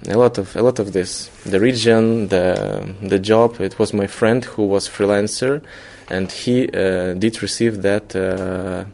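A man with a Ukrainian accent answers interview questions conversationally. His voice is fairly low with heavy vocal fry. As a non-native English speaker, he moves haltingly and searches for his words. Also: steady tone, a little mellow. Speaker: filmmaker being interviewed.

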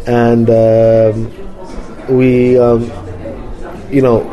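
A man who grew up in London, a film director answering interview questions in a halting way, with many fillers and restarts. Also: medium pitch, completely flat delivery, highs cut off, music in the background. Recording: crowd noise behind.

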